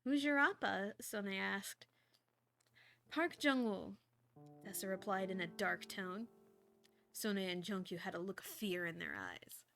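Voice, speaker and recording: A woman's voice: mid-range voice, a little slow, gravelly, pauses often, fairly bright tone, faint music behind. A woman livestreamer with an informal, giggly, self-deprecating way of talking, chatting casually and reading fan fiction aloud. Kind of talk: casual livestream chat and story read-aloud.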